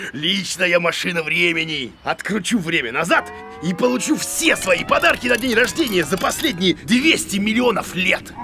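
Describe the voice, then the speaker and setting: A bumbling, bearded cartoon creature plays teacher to children, boastful and impatient. The voice is high and gravelly and leaps around theatrically, with music underneath. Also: male voice, heavily acted. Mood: greedy, excited. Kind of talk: children's educational cartoon acting.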